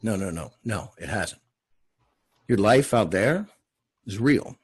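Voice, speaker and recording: An earnest, fatherly figure in a television drama, read by a man. His husky voice rises and falls widely, halting and then pressing each point as he consoles. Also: fairly low pitch, lively intonation, dry recording, clean studio sound, exaggerated voice. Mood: firm, reassuring.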